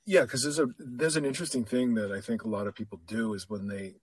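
A middle-aged man, a coach and podcast guest, talking candidly and reflectively in a relaxed conversation. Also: mid-range voice, fast, slightly rough. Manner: reflective, candid, self-deprecating. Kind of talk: casual conversation.

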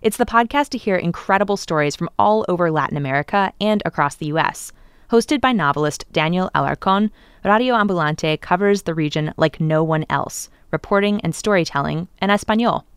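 A woman's voice: on the low side, brisk, clear, resonant tone, no echo, clean studio sound. A woman announcer reading a scripted podcast promo.

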